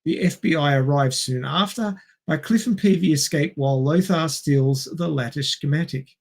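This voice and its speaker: Casting: male film podcast co-host, voice a little high, recapping and chatting with a wry, dry wit. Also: moderate pace, gravelly. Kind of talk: reading a film plot summary with wry asides and casual chat.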